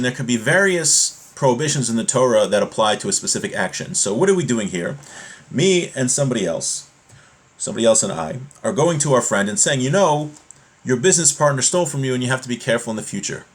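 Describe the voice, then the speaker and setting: A man giving an informal religious lesson, explaining step by step in a halting way and rephrasing his points as he goes. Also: mid-range voice, expressive. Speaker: religious teacher giving a class.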